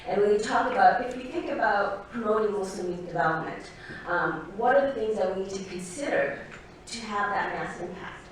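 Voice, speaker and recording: A woman panelist starting her conference talk in a relaxed, conversational way with plenty of fillers. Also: medium pitch, moderate pace. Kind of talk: conference presentation. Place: conference hall. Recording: echoey hall, distant mic, faint noise.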